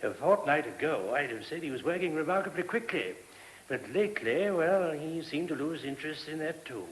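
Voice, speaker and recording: An actor playing a polite, thoughtful male monk in a radio play, explaining things in a measured, slightly hesitant way. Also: fairly high pitch, animated, close to an everyday voice, poor audio. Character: courteous, thoughtful male monk of an abbey, a priest. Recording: hissy old radio recording.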